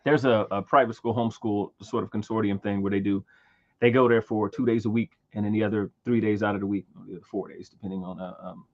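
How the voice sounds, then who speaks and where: Halting thirty-something man, low voice. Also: steady tone, muffled recording. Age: 30s. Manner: halting, many repeated words and fillers. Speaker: livestream host. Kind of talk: casual livestream chat.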